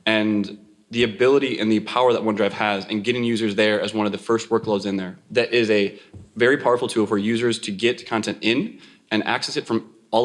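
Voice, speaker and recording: A man from Wisconsin with an American accent and a fairly low voice. He talks conversationally as an IT consultant on a panel.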